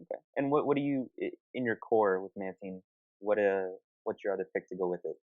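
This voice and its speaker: A man's voice: fairly low pitch, a little fast. A man casually hosting an online gaming panel, asking informal questions and affirming his guests' answers, on a dull voice-call recording. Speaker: host of a gaming discussion panel. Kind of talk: casual moderated online discussion.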